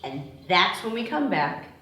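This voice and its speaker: A woman's voice: mid-range voice, very animated, a little slow, a bit bright. A woman playing a playful, teasing young heist crew leader at a screenplay table read, recorded in an echoey room. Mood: businesslike. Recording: echoey room, distant microphone.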